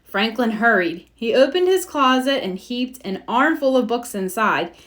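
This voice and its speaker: A woman narrating a children's storybook aloud in an expressive read-aloud style. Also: fairly high pitch, a little slow. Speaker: storybook reader.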